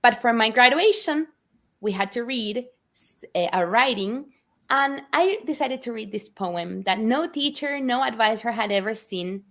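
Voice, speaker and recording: A woman's voice: fairly high pitch, animated, a little slow, a bit bright. Middle-aged woman. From Argentina. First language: Spanish. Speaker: picture book author, guest. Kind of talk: warm personal storytelling in interview.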